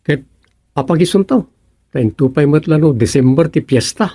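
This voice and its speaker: A man acting a droll, easygoing village daydreamer in an Ilocano radio comedy, with an Ilocano accent and a gravelly, husky voice. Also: fairly high pitch, expressive, moderate pace, mellow, no echo, studio quality. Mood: eager, explaining.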